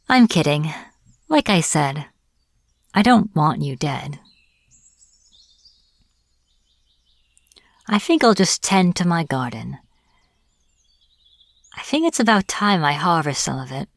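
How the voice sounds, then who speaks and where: A woman playing a smug, teasing witch in an audio roleplay, taunting a captive in a playful, deliberate manner. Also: lowish voice, big pitch swings, no echo, clean studio sound. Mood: gloating, playful. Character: smug teasing young-sounding witch, captor of a hunter. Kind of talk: audio roleplay, acted monologue to the listener.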